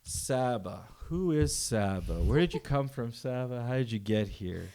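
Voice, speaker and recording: A man's voice: medium pitch, expressive, unhurried, dark tone. A man hosting a podcast, asking loose, friendly questions in casual chat with plenty of fillers. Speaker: podcast host.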